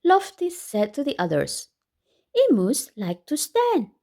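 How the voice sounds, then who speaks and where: A woman with a high, very animated voice reads a picture book aloud for children. She is expressive, often exclaiming and drawing listeners in with questions as she comments on the story. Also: slow pace.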